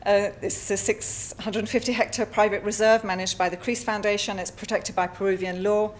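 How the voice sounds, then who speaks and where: A woman with a Spanish accent giving a conference talk over slides, halting often with fillers and self-corrections. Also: medium pitch, fairly even intonation, slight background noise. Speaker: artist presenting at a symposium. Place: lecture hall.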